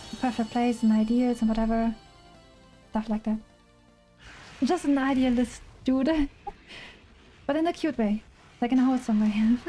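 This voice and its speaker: A woman's voice: a little high, warm tone, rough recording. A woman from Germany with a German accent chats casually as a game streamer, in an informal, giggly and enthusiastic way. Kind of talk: casual chatty livestream commentary. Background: music.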